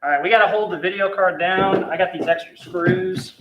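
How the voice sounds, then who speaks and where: A man's voice: high-pitched, brisk, lo-fi sound. Chatty young man in a noisy studio. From South Carolina. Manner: relaxed, chatty, explains as he works. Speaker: host building a computer. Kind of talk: casual hands-on demo chat. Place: hot studio.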